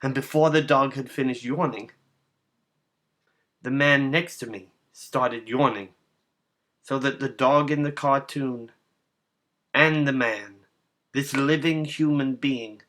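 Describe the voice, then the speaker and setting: A man with a mid-pitched voice reads a literary short story aloud. He narrates in measured phrases, placing each one deliberately. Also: steady tone, a bit bright. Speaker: narrator, reader.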